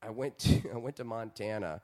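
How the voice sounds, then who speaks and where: A man's voice: lowish voice, fairly quick, a little mellow. A male comedian telling a long crude story in casual chat, rambling and laughing often.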